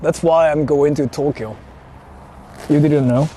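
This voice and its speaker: A man's voice: on the high side, fairly even intonation, measured pace, warm tone. A man chatting casually and slangily to viewers as he livestreams from his car. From Japan. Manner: colloquial, slangy, explaining things to viewers. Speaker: livestream host. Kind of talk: casual livestream chat while driving. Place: inside a moving car in a city. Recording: clear vehicle and street noise. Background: car and urban traffic noise.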